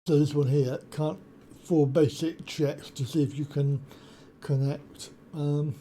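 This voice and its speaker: A man walks through a piece of software at a slow, deliberate pace, pausing often on ums and uhs. His voice is deep and mellow, a little higher-pitched than most men. A faint background hiss sits under the desk-mic recording. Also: steady tone. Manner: unhurried, explanatory, many ums and uhs. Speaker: software tutorial presenter. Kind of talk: screen-recorded software walkthrough. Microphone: desk USB microphone.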